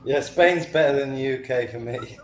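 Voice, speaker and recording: A man's voice: mid-range voice, normal speed. A man with a Manchester accent, calling into a livestream panel, chatting in an easygoing way. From England. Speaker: caller on a panel. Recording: echoey room with faint background noise.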